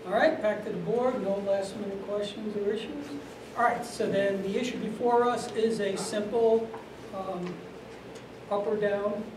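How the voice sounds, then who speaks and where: A middle-aged man chairing a planning board meeting, brisk and procedural with light humor, recorded from afar in a meeting room. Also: high voice, recorded from a distance. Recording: echoey room from afar, noisy.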